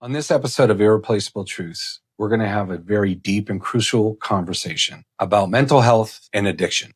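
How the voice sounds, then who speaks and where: A man's voice: lowish voice, breathy edge. A man hosting a podcast, introducing and interviewing in an earnest, encouraging manner. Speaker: podcast host.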